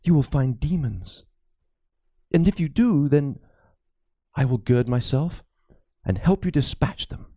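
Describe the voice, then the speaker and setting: A man's voice: medium pitch, animated, unhurried, long pauses, warm tone, no echo, clean studio sound. A man voicing a frightened, fervent medieval priest in an audiobook, pleading and stammering with dramatic urgency. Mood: desperate. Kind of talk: dramatic audiobook character acting.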